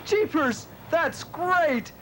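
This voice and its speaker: A man voicing an excitable, boyish puppet junk collector on a children's educational show, full of eager exclamations. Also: very high voice, extremely slow, a little hiss or hum, heavily acted. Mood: overjoyed.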